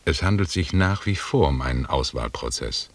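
Stern male expedition leader for radio drama: very low, husky voice, measured and authoritative. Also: animated, no echo, heavily acted. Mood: grim. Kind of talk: German radio drama acting.

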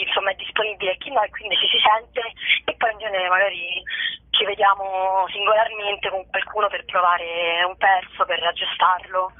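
A woman's voice: medium pitch, no room sound. Hesitant woman on a tinny phone line. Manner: hesitant, meandering, thinking aloud. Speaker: actress and stand-up performer being interviewed. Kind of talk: casual interview answers. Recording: dry, tinny phone-like narrow band.

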